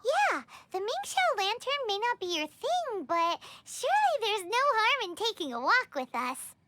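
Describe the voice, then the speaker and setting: Very high, squeaky little companion. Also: female voice, big pitch swings, a little slow, bright, forceful tone, no echo, exaggerated voice. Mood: cheerful coaxing. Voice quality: squeaky, childlike. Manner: chirpy, insistent, refers to self in third person. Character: tiny, childlike female floating companion, bubbly and bossy. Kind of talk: video game voice acting.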